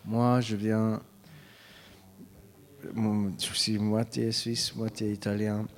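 A man, a musician answering interview questions in a halting way. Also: lowish voice, level tone. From Switzerland. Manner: halting, with many fillers and restarts.

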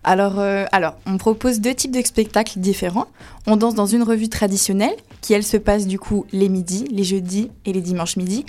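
A woman's voice: mid-range voice, fairly quick. A woman who works as a cabaret dancer, chatty and explaining her daily routine in detail. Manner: lively, talkative explainer. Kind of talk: explaining her work in an interview.

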